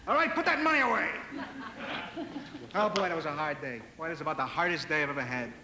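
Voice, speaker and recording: A man's voice: on the high side, expressive, a little fast, lightly acted. A man playing a hapless, befuddled would-be robber in a stage comedy sketch, indignant and prone to rambling. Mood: rambling, mock-weary. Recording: very noisy, echoey old TV theatre recording.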